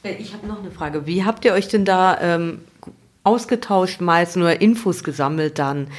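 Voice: mid-range voice, normal speed. A podcast co-host putting questions to guests in a casual, conversational way.

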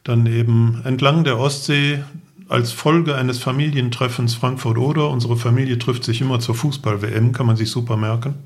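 A middle-aged man with a gravelly, creaky edge and medium pitch tells travel stories. He explains things in detail, as a guest on a podcast. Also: steady tone, normal speed. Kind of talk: travel storytelling.

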